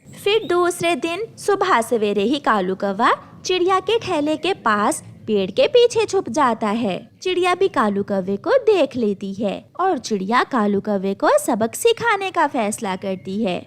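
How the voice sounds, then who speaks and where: A woman's voice: high voice, very animated, unhurried. A woman reading scripted narration for a children's cartoon story.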